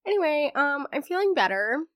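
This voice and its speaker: A teenage girl talks through a podcast episode in a fairly high voice, stuffy and congested from a lingering throat illness. Her chat is loose and sarcastic, full of "um" and "like". The sound is close and dry, with no room around it. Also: very animated, a little fast, a bit bright, dry recording. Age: teens. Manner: chatty and sarcastic, many fillers. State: congested, recovering from laryngitis. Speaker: podcast host. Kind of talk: casual chat.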